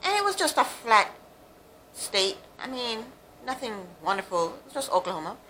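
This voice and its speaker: A woman's voice: on the high side, very animated, moderate pace, bright, forceful tone, a little hiss or hum, rough recording. A woman from Jamaica giving a chatty, frank, opinionated recap of a reality show, with plenty of ums and asides. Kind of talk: casual monologue, opinionated recap.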